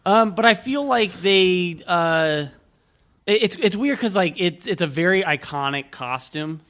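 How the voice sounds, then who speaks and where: A man with a very bright, forward voice that sits a little high. He talks casually as a co-host on a film podcast, in an informal conversational style. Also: lively intonation, unhurried. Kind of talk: casual chat, film discussion. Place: outdoors.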